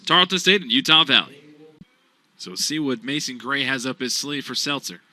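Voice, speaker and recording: A young man calling baseball play-by-play for radio in an animated, punchy sportscaster style. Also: mid-range voice, moderate pace. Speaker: play-by-play announcer.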